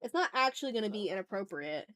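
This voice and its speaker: A woman with a mid-pitched voice chats casually as the host of a drawing stream. She is candid and informal, talking through her thoughts out loud and dropping curse words freely. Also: big pitch swings, fast. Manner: informal and candid, swears freely, thinks aloud. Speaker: art livestream host.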